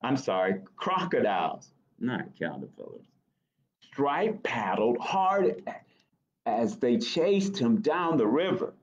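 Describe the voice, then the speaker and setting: Slow, animated American man reading to children. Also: medium pitch, muffled recording. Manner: expressive, pausing to ask questions. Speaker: library storytime reader. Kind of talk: reading a picture book aloud to children, with asides. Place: small office, indoors.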